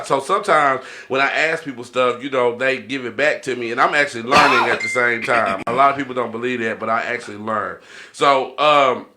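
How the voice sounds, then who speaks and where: A man's voice: mid-range voice, pushed and bright. A man hosting a podcast in casual, slangy conversation, often checking in with catchphrases. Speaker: podcast host.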